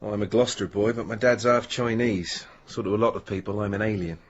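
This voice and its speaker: An actor playing an easygoing, gently teasing village policeman in a radio drama, dry and reassuring, with a husky voice. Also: lowish voice, steady tone, brisk, dull audio, natural voice. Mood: teasing, wry.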